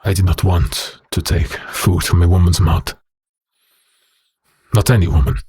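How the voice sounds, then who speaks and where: An actor playing a bitter, haunted werewolf prisoner in an audio drama, speaking in a slow, brooding, halting way with a rough voice. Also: male voice, low-pitched, level tone, mellow, no echo, exaggerated voice. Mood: haunted sorrow. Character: bitter haunted male werewolf prisoner recounting his past.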